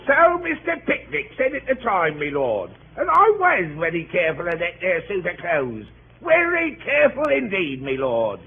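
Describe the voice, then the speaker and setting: Through tinny, hissy old radio comes a Cockney manservant in the witness box. His voice is very high and swoops about. He is droll, wordy and mock-respectful, never flustered. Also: huge pitch swings, moderate pace, creaky, heavily acted. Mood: droll, unruffled. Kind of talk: 1930s radio acting. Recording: tinny, noisy 1930s radio broadcast.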